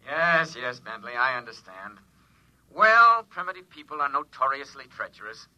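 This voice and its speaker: An actor playing a complacent, fussy mission supervisor talking over a radio link in a 1950s radio comedy. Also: male voice, mid-range voice, big pitch swings, moderate pace, pushed and bright, no echo, rough recording. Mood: fussy, dismissive. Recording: dry, thin vintage radio recording.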